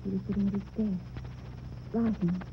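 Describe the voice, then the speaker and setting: A woman's voice: medium pitch, little pitch movement, moderate pace, lightly acted. An actress playing a refined, inquisitive, anxious young woman in a theatrical 1940s radio horror style. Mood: frightened. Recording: dull, echoey, faintly noisy vintage radio.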